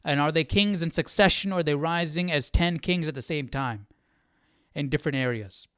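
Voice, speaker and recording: A man's voice: on the high side, moderate pace, a bit bright, clear, resonant tone, no echo, studio quality. A man hosting a livestream Bible study, talking informally and thinking aloud. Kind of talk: casual livestream chat and study commentary.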